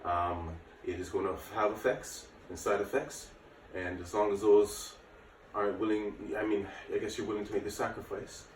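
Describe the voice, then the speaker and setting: Male fitness instructor, late 30s, very low voice, informal and reassuring, recorded at a distance from the mic. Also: fairly even intonation, moderate pace, few pauses. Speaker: martial arts and fitness instructor. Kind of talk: casual workout instruction to camera. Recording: echoey room, distant mic, faint noise.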